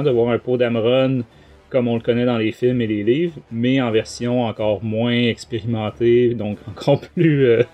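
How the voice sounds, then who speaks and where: A Québécois man with a mellow, soft-edged voice talks casually as a podcast host reviewing books. He rambles in colloquial speech, often hesitating, at an average pitch, with faint music behind him. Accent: Québécois French. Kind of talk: casual book review chat.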